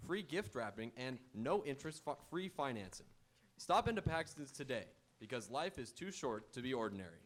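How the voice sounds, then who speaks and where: A man's voice: medium pitch, sing-song, moderate pace. A man announcing and narrating a live radio theatre show from a script. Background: music.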